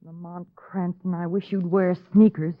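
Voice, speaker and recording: An actress playing an excitable, teasing lady sidekick, expressive and melodramatic in 1940s radio drama style. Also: fairly low pitch, normal speed, no room sound, natural voice. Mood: playful, chiding. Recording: tinny, narrow old radio recording.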